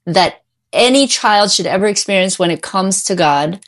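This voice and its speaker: A woman's voice: medium pitch, lively intonation, moderate pace. A middle-aged woman giving emphatic, opinionated answers as a therapist guest in a conversational interview. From born in Korea, immigrated at age five. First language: English. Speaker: guest, mental health therapist.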